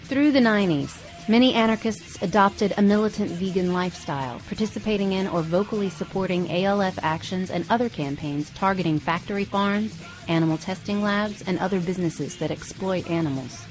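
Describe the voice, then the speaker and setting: A woman's voice: mid-range voice, a little fast, poor audio. A woman hosting a podcast, reading scripted documentary narration. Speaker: podcast host. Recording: music bed behind the voice. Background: music.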